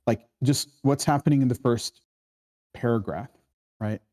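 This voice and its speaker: A man hosting a casual screenwriting discussion, explaining in a halting way and often checking for agreement. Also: mid-range voice, dark tone. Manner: halting, explanatory, keeps seeking agreement with "right?". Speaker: host of a screenwriting table read.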